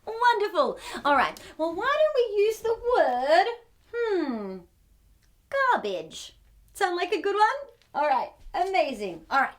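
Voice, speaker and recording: A woman hosting a children's storytime, reading a picture book in an animated way and putting questions to her young listeners with exaggerated wonder. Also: very high-pitched.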